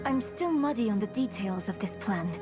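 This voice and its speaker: A woman's voice: medium pitch, lively intonation, normal speed, over music, natural voice. A woman playing an earnest, bookish and determined young scholar in a video game, speaking eagerly and insistently. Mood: uneasy. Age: young adult. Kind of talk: dramatic video game dialogue.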